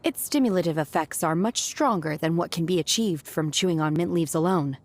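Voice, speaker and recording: A woman voicing a calm, detached young woman disciple who speaks in a cool, matter-of-fact way. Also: on the low side, brisk, a little hiss or hum, lightly acted.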